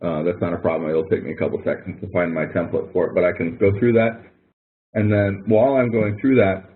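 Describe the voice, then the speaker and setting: A man instructing students in an informal online webinar, explaining in a rambling, hesitant way with repeated words. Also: low-pitched. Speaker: instructor running a help webinar. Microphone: online webinar audio connection. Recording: narrow, tinny online-call audio.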